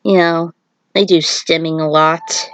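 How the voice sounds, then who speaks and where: A woman's voice: sits low, fairly even intonation, measured pace, slight background noise. A woman narrating a fan fiction story.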